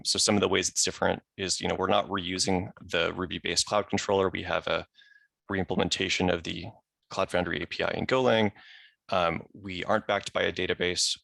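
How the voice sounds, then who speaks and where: Low, creaky man presenting. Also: bright, forceful tone, dry recording. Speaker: software engineer presenting. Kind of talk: informal technical presentation. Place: remote video call.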